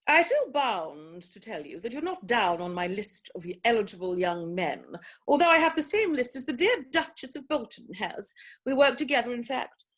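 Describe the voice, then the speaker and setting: A woman playing an imperious, snobbish older aristocratic mother in a theatrical comedy reading, speaking with pompous, deliberate emphasis. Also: fairly high pitch, sing-song. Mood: brisk, appraising. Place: virtual performance from home in isolation.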